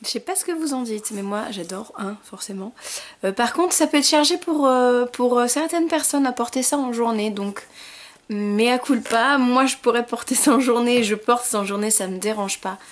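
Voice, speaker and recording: Woman, beauty vlogger, bubbly tutorial chat, fairly high voice, soft trailing asides. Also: normal speed.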